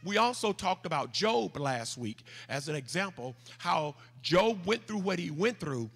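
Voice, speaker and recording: Southern pastor preaching in a reverberant church. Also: male voice, sits high, sing-song, creaky, a bit bright, husky. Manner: leads the congregation in call-and-repeat, reads scripture slowly and deliberately. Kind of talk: sermon with scripture reading. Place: large church sanctuary, standing at a pulpit. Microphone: handheld microphone. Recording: church hall with long reverb, faint music.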